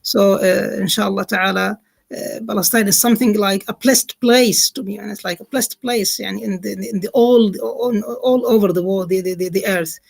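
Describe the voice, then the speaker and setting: Male interviewer, heavy vocal fry, halting delivery full of fillers. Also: high-pitched, steady tone. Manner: hesitant, many fillers and restarts, Arabic religious phrases. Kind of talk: casual interview.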